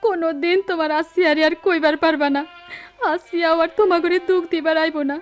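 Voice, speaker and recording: Plaintive daughter, very high voice. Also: female voice, steady tone, a little fast, soft background music. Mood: tearful hurt. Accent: rural Bangladeshi. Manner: plaintive, pleading. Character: hurt, devoted young village daughter. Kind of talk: radio drama acting.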